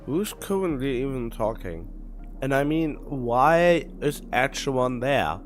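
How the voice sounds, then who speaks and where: A man streaming a game, commenting casually and haltingly as he thinks out loud. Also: medium pitch, animated. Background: game music.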